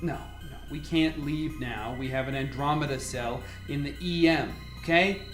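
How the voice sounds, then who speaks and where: A man's voice: on the high side, normal speed, lightly acted. In a roomy, echoing space with music underneath, a man plays a commanding scientist at the head of a research team. He is urgent and used to giving orders, in the manner of a radio play. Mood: intrigued. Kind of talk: radio drama acting.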